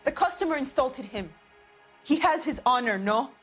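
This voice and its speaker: A young woman with a Spanish accent in a crime game drama. Her voice sits fairly high, and she phrases things carefully, loyal and defensive. There is soft music in the background. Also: animated, normal speed, husky, exaggerated voice. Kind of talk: dramatic video game acting.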